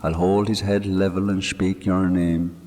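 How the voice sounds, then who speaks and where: A man acting out a grieving, brooding Irishman who talks low and half to himself over a fresh grave. His voice sits a little higher than the deep narration around it, and it carries a long hall reverb in this storybook telling. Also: level tone, measured pace, dark tone. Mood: grim, vengeful.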